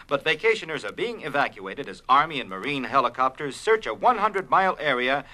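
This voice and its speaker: An urgent TV news reporter in a story record. His voice is bright and forceful, mid-pitched and very animated. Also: fairly quick. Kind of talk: 1970s dramatised broadcast news report.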